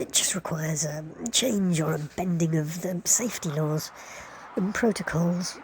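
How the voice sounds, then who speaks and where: A woman's voice: fairly low pitch, darkish tone, slight background noise, low-quality recording. A woman reading wry, wordy scripted narration with arch, playful and florid phrasing.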